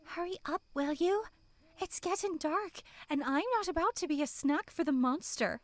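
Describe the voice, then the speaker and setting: A woman voicing a callous, impatient villager in a horror roleplay, sharp and mocking. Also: high-pitched, expressive, moderate pace, dry recording. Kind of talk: dramatic horror roleplay acting.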